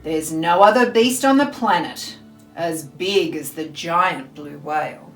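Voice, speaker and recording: Slow, expressive woman storyteller, echoey room. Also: low voice. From Australia. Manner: deliberate, theatrical, addressing small children. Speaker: storyteller, school librarian. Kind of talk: expressive children's picture book reading. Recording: roomy echo, faint music behind.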